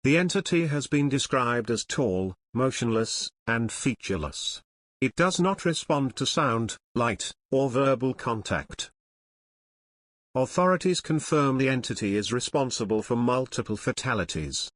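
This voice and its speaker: A man reading a scripted emergency announcement as a broadcast announcer in a formal, measured manner. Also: mid-range voice, lively intonation, dry recording.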